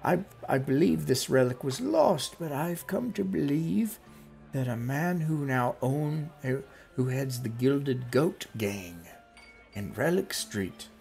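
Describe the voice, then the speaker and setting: A man's voice: medium pitch, expressive, slow pace, gravelly, darkish tone, soft background music, pitched above the performer's own voice. A man voicing a scratchy-voiced old male quest giver who rambles hesitantly with many fillers. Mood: pleading.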